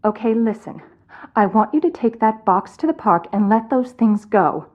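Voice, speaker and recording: An actress playing a high-strung, irritable, squeamish woman boss in a video game, commanding and exasperated. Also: expressive, normal speed, narrow, tinny audio, lightly acted. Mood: bossy, anxious.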